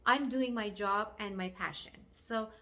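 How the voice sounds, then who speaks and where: A woman's voice: mid-range voice, measured pace, pushed and bright. A woman with a Persian accent, a native Farsi speaker, talking as a life coach in an earnest, encouraging, emphatic motivational address. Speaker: life coach and video host. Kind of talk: motivational talk to camera.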